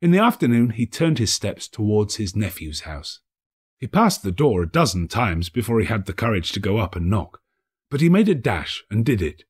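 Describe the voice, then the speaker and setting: A man narrating a classic story as an audiobook, with theatrical, measured storytelling. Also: on the low side, big pitch swings, slightly rough. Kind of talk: expressive literary narration.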